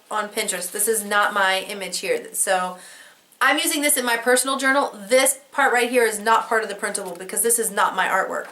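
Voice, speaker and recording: A woman's voice: on the high side, bright, forceful tone. A woman with a head cold and a fading voice, chatting casually and rambling a little as she shows her work. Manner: chatty and rambling, with fillers and restarts; candid, with casual swearing. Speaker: host. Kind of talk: casual show-and-tell craft vlog.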